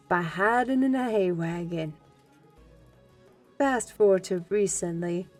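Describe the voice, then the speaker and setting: Actress as freed half-blood scholar in a fantasy audio drama: woman, low register, unhurried, earnest and grave, music underneath. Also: lightly acted. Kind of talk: audio drama narration of a life story.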